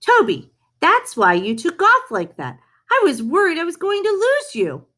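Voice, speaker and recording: A woman's voice: a little high, wildly swooping pitch, measured pace, sharp tone, exaggerated voice. A woman reading the part of an earnest young male runner who is fond of a dog, in a storybook. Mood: relieved, fond.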